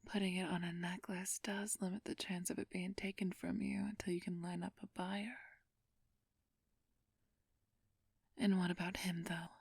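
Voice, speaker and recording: A woman playing a flirtatious, haughty ancient vampire thief in a whispered ASMR roleplay, teasing and condescending with a drawn-out purr. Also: lowish voice, flat delivery, no echo, studio quality. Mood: sly. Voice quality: breathy whisper.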